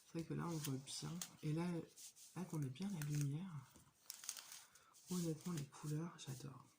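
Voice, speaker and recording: A woman in her thirties with a cold, chatting casually and hesitantly about her crafting hobby, with many pauses and fillers. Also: low-pitched, vocal fry, mellow, slight background noise. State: has a cold. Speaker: hobby vlogger. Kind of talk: casual show-and-tell chat.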